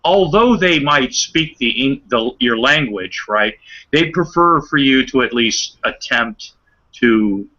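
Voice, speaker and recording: An older man in casual chat over a video call, halting, with many fillers and chuckling asides. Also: medium pitch, lively intonation, sharp tone. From United States. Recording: dull lo-fi online call audio.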